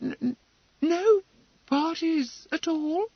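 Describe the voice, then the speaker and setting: Guy doing a timid young lady from a novel, really high pitched, stammery, begging, swoops around. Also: expressive, slow, dry recording, dull audio, exaggerated voice. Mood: dismayed. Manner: stammering, breathless protests. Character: timid, pleading, frightened young woman, a bride-to-be.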